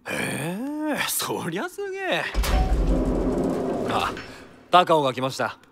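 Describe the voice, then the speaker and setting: Lively, rough-talking monk adventurer. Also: male voice, on the high side, slow pace, very noisy, exaggerated voice. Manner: blunt, casual old Tokyo tough talk. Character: rough, easygoing monk adventurer in old Tokyo. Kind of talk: radio drama acting.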